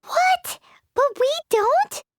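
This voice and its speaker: A woman voicing a tiny, childlike, excitable fairy companion in a video game, squeaky and bubbly in manner. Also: drawn-out words, dry recording, studio quality, heavily acted. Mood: curious, puzzled. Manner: bubbly, expressive, childishly direct. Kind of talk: video game character acting.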